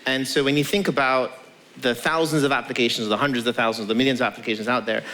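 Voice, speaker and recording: A man's voice: medium pitch, fairly even intonation, fast talker, fairly bright tone. A man speaking conversationally as a tech founder on stage, emphatic, building points with repetition and rhetorical questions. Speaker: tech company founder, guest.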